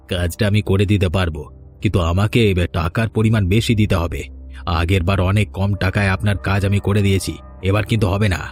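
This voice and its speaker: An actor voices a scheming village man in a cartoon folktale. He has a low voice and speaks sternly and deliberately, with music underneath. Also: a little mellow, close to an everyday voice. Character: threatening, scheming village man, plotting against old woman. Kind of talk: cartoon fairy-tale voice acting.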